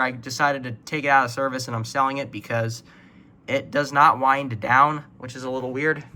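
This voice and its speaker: A man's voice: mid-range voice, bright, forceful tone. A man casually walks viewers through a hobby collection in an informal, matter-of-fact way. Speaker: hobbyist video presenter.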